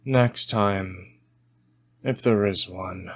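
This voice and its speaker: A stern, cryptic wanderer acted by a man in a tabletop game, low in pitch and very unhurried. He speaks solemnly, with halting, philosophical weight.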